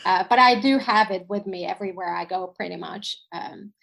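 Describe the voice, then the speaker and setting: A woman's voice: mid-range voice, fairly quick, creaky, a bit bright, poor audio. A woman from Sweden whose first language is Finnish, a podcast guest chatting and telling stories from her life with plenty of fillers. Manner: reflective, storytelling, with fillers. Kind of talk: casual chat.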